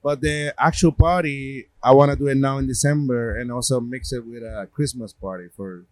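A man's voice: medium pitch, steady tone, moderate pace, a little mellow, no room sound. A man from Puerto Rico with a Puerto Rican accent, a gallery owner and artist talking in a casual interview, rambling with many fillers and restarts.

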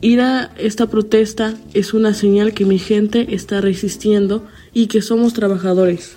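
A woman's voice: medium pitch, fairly even intonation, a little slow, mellow, faint music behind. Teenage girl reading an earnest statement. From Chicago. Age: teens. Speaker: student protester.

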